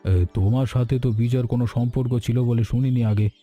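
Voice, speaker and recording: A man's voice: mid-range voice, level tone, fast talker, dark tone, soft background music, natural voice. A man playing a stern but fair village landlord in a cartoon, speaking with patronizing authority. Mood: questioning. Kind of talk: Bengali moral-story cartoon dialogue.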